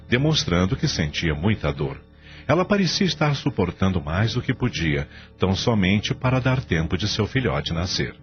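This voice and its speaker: Male narrator, very low voice, animated intonation, Brazilian accent, solemn radio drama storytelling, soft music bed. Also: muffled recording.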